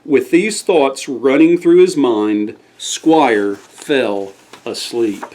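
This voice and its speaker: A man's voice: medium pitch, very slow, dark tone, low-quality recording. A man reading a scripted bedtime story aloud as the storyteller.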